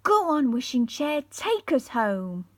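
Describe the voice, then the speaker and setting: A woman's voice: sits high, big pitch swings, takes it slowly, pitched above the performer's own voice, exaggerated voice. A woman reading the part of an earnest young boy in a children's storybook. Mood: defiant.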